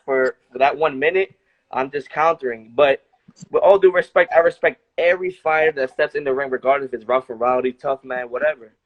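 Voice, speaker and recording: A man with a Brooklyn New York accent, tired after training. He talks in a laid-back, streetwise way full of slang. His voice sits at a middle pitch. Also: brisk, lo-fi sound. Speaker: boxer, interview guest. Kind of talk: casual interview talk.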